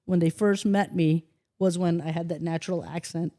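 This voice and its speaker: A middle-aged woman from the Philippines, a guest chatting casually on a podcast, who tells animated stories, acts out quotes and swears freely. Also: on the low side, normal speed. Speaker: guest, host's mother.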